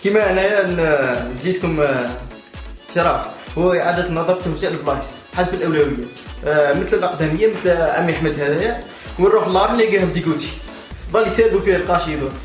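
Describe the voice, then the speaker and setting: Man as rapid-fire demanding Algerian idler. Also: on the high side, lightly acted. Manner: slangy, mixes in French loanwords. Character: demanding idler who wants perks. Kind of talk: comedy sketch dialogue. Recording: echoey room, faint music.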